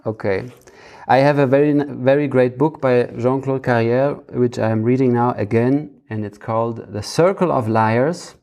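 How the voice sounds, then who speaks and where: Gravelly, mellow male podcast host. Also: mid-range voice. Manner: halting, searching for words. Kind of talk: casual conversational podcast talk.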